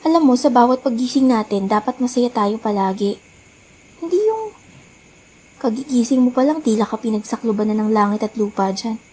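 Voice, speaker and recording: A woman playing a gentle, caring teenage girl in a radio drama, earnest and politely respectful. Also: on the high side, moderate pace, slightly rough. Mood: chiding, cheerful. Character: gentle, caring, studious teenage twin sister. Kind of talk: dramatic radio play acting. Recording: music behind the voice.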